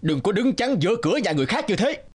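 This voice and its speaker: A man's voice: high voice, sing-song, fast, roomy sound, big-room sound. A man playing a haughty, mean, middle-aged rich villager in a cartoon, with a scornful, scolding delivery. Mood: scornful, angry. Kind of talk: cartoon dubbing.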